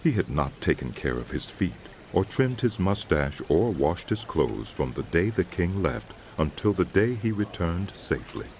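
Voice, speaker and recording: A man narrating a dramatized Bible as a scripted, measured storyteller. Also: low voice, very animated, a little mellow, a little hiss or hum.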